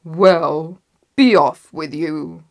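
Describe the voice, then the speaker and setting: A woman voicing a gruff, menacing, hungry troll in a children's storybook, roaring slowly. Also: sits low. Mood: dismissive.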